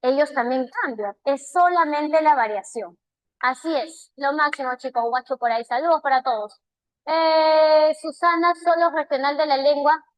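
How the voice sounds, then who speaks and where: High-voiced Peruvian woman teaching online. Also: moderate pace, dry recording, poor audio. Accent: Peruvian Spanish. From Peru. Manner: warm and encouraging, praising students by name; asks many rhetorical check questions. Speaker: language teacher. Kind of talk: live online class, answering student chat questions.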